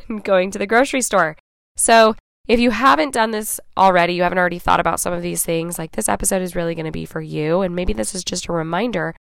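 A woman hosting her own podcast in a casual, chatty way, hoarse and raspy from a cold, often checking in with "right?" and "okay?". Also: fairly low pitch, no room sound, studio quality. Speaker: podcast host. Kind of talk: casual solo podcast talk.

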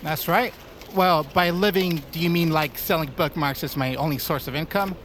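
Pompous, higher-voiced slacker artist guy played by a man. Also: moderate pace, a bit bright, lightly acted. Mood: earnest, pretentious. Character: self-important laid-back artist bookmark seller, pretentious and dismissive. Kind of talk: comedic scripted audio drama acting. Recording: clear background noise and ambience.

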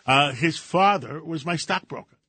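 Greek-born older man, co-hosting radio, halting delivery full of restarts, somewhat high voice, dry studio sound. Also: sharp tone, husky, no echo. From Greece. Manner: halting, many fillers and restarts. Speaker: radio show co-host. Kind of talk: casual radio talk.

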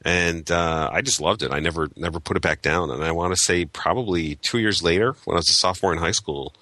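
A man in his forties from Chicago, a photographer guest telling his story in an animated way with many fillers. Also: low voice, brisk, no echo. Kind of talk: interview answers.

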